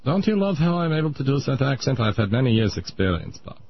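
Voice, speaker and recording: A man voicing a pompous old male Viennese psychoanalyst, lecturing in a smug, drawn-out way. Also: medium pitch, very animated, breathy edge, dull audio.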